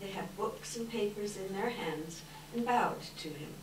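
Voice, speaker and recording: A woman's voice: mid-range voice, a little slow. A woman reading scripted story narration aloud as part of a readers theater. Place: live indoor readers theater event. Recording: distant mic, echoey room, hiss.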